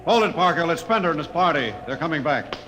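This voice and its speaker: A man's voice: fairly high pitch, animated, quick pace, fairly bright tone, faint music behind. A man playing a firm, reasonable spaceship captain in an old radio drama, with an authoritative manner. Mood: commanding, urgent. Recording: reverberant, faintly noisy old radio recording.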